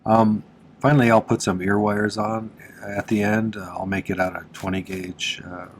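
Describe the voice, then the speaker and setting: Low-voiced congested man teaching a craft. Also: moderate pace, slight background noise. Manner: relaxed and chatty, with frequent "uh" fillers and small laughs. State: congested from allergies, slightly nasal. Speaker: silversmith teaching on his channel. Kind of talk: casual how-to narration.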